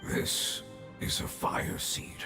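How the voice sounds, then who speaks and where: An actor voicing a dying, solemn ancient fungus creature in a video game, speaking in a slow, grave, breathy and gravelly way. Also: close to an everyday voice. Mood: earnest, hopeful. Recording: echoing voice over loud game music.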